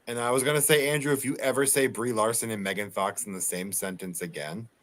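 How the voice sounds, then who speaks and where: Boston-accented guy for stream banter, mid-pitched, teasing, chuckly. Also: a little fast, a bit bright. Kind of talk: casual livestream chat.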